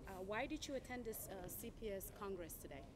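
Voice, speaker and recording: A woman's voice: medium pitch, lively intonation, a crowd in the background. A woman interviewing conference attendees, asking short set questions with fillers. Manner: brisk, repeats set questions. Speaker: event interviewer. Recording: noisy background.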